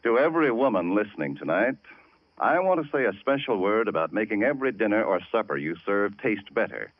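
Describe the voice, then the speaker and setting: A man reading a sponsor's message as a 1940s radio announcer, with deliberate, emphatic salesmanship. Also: lowish voice, sing-song, dry recording. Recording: dull old radio recording.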